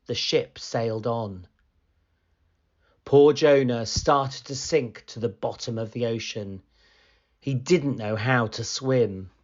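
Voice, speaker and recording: Guy reading a kids bible story real slow and expressive, dull lo-fi sound. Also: mid-range voice. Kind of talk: children's picture book read-aloud.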